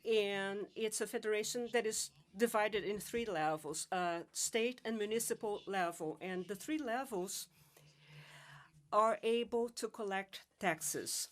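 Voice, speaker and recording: A woman giving a live interpretation of a conference presentation, measured and halting. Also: mid-range voice. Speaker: simultaneous interpreter.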